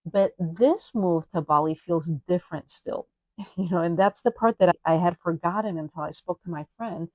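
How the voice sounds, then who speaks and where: A woman's voice: on the low side, a little mellow, no room sound, rough recording. A woman from Spain, probably in her forties, hosting a solo podcast and talking in a reflective, chatty way. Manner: reflective, chatty, frequent "you know" and "like". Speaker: podcast host. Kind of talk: personal solo podcast monologue.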